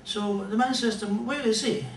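An older man with a Scottish accent telling jokes and a rambling story at a stand mic on a small club stage, in a dry, unhurried way. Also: high voice, steady tone, slight background noise. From Scotland. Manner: dry, rambling joke delivery with pauses. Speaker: comedian and musician. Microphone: stand mic, filmed from a distant camera. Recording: roomy club sound from far off.

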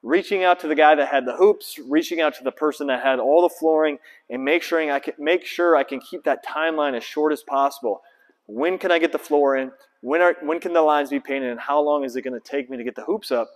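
A man's voice: on the high side, brisk. A man in his thirties, a basketball trainer, casually walking through his gym and explaining with lots of fillers, on a lapel mic. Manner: casual, filler-heavy, explaining and pointing things out. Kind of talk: casual walkthrough vlog. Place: large empty indoor basketball gym. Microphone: clip-on lapel mic. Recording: long reverb of a big empty gym.

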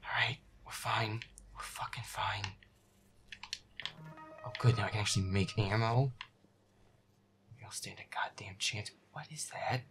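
A man playing a feisty, foul-mouthed boyfriend who mutters exasperatedly while playing a scary video game, often in a breathy whisper. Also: lowish voice, steady tone, unhurried, pauses often, fairly bright tone, soft background music. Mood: nervous, irritated. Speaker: audio roleplay performer. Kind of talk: casual gaming commentary in boyfriend audio roleplay.

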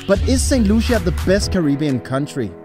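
A man's voice: high voice, very animated, moderate pace, over music. A young man from Denmark reading an upbeat, scripted travel voice-over that lists facts about a country. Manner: upbeat, fact-listing delivery. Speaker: travel vlogger narrating.

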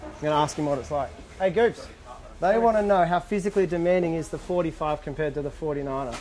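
A man's voice: a little high, quick pace, darkish tone. A young man casually reading out fan questions to camera outdoors by a harbour, joking as he goes. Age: young adult. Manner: cheerful, joking, reads questions aloud. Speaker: sailor hosting a fan Q&A. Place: outdoors at a boat tent by a harbour. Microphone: handheld camera close up.

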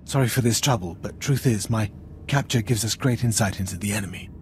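A man's voice: on the low side, a little fast, a little mellow, slight background noise, natural voice. An actor playing a deferential, earnest military commander in a video game, speaking formally and apologetically as he explains. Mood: apologetic, earnest.

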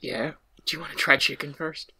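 A man's voice: fairly high pitch, fairly even intonation, a little fast, bright, forceful tone. A young man casually improvising comedy scenes for a podcast. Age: young adult. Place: small room at home, video call.

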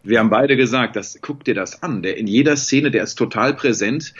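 A man in his forties hosting an interview over a video call, talking in a relaxed, reflective way. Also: mid-range voice, a little fast, slightly rough. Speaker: podcast host. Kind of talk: casual video-call chat. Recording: slightly dull, lo-fi call audio.

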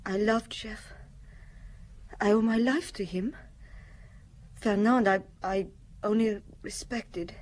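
A woman playing a sad, quiet young refugee widow in a radio drama, speaking in a halting, reflective way. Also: medium pitch, steady tone, lightly acted. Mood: sad, remembering.

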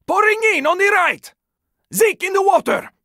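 A gruff male survivor from a zombie shooter game, battle-worn and protective. His voice is raspy and pitched very high for a man, swinging widely as he delivers terse combat callouts. The sound is close and dry. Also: sing-song, moderate pace, no echo, heavily acted. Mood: urgent, alarmed. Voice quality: gravelly, raspy. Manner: terse, intense callouts, often strained or shouted. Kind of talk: video game combat voice lines.

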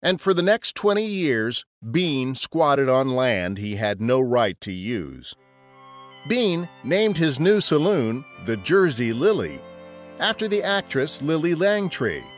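A man's voice: medium pitch, very animated, takes it slowly, faint music behind. A man narrating a scripted history story as a podcast storyteller.

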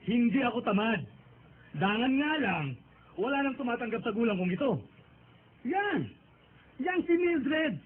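A man's voice: very high-pitched, slightly rough, heavily acted. An actor playing a bitter, jobless older Filipino father in a radio drama, scolding and sarcastic. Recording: tinny phone-like band, noisy.

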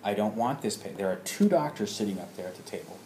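A man with a fairly low voice tells a personal anecdote, halting between phrases and playing out both sides of remembered dialogue. The recording is roomy and echoey, with some faint noise behind him. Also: animated, dark tone. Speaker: storyteller, alternative health practitioner. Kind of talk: personal anecdote told to listeners. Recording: roomy echo with background noise.

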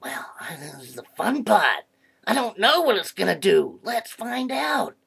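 A woman playing a playful, mischievous talking duck in a children's comedy skit, with a creaky, husky voice. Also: mid-range voice, big pitch swings, measured pace, fairly bright tone, exaggerated voice. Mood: mischievous, curious. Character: playful, mischievous talking duck who loves pressing buttons.

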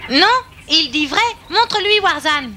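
A woman's voice: sits high, expressive, a little slow, exaggerated voice. A woman voicing a cautious, loyal young monkey in a cartoon, childlike and worried. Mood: worried, cautioning. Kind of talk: cartoon dubbing. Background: loud background music.

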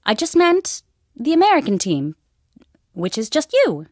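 Game role: young woman archaeology student at a desert dig,, lively and expressive, higher register. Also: a little slow, no echo, clean studio sound, heavily acted. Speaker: video game character. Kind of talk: studio game voice acting.

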